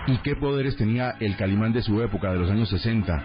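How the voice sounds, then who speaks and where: Male Colombian morning radio presenter, lowish voice, thin narrow-band broadcast audio. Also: fast talker. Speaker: radio host. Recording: tinny phone-like radio sound.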